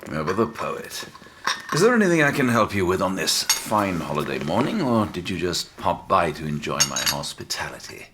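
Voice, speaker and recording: An actor playing a weary, sarcastic police detective with a dry, grumpy manner in an audio drama. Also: male voice, low voice, very animated, normal speed, pushed and bright, a little hiss or hum, full character voice. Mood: sardonic. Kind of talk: full-cast audio drama acting.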